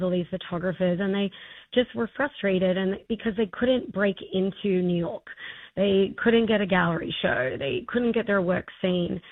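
A woman's voice: mid-range voice, dry recording. A middle-aged woman with an Australian accent, a festival co-founder answering interview questions in an enthusiastic, rambling way. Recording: dry, tinny phone-like line.

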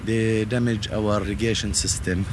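A man's voice: lowish voice, steady tone, normal speed, noisy background. A man from the West Bank with a Palestinian Arabic accent, a native Arabic speaker, giving an interview in short, simple phrases. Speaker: interviewee, farmer. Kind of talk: impromptu interview.

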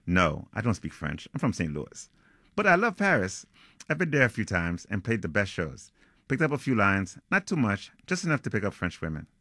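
A man's voice: lowish voice, wildly swooping pitch, moderate pace, bright, forceful tone, no room sound, studio quality, full character voice. A man reading a novel aloud, voicing a cocky young American jazz musician with slangy, playful, teasing talk. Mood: boastful, easygoing. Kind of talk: novel reading, acted dialogue.

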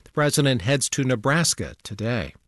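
A man reading the hourly radio news bulletin in a steady, measured way. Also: mid-range voice, dry recording. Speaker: newscaster. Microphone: studio microphone.

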